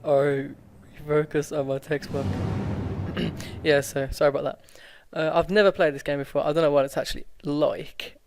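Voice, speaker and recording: Stumbling gamer reading aloud. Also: male voice, a little high, flat delivery, moderate pace. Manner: stumbling, self-correcting reading with frequent laughs and swearing. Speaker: let's play host. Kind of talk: casual gameplay commentary, reading visual novel aloud.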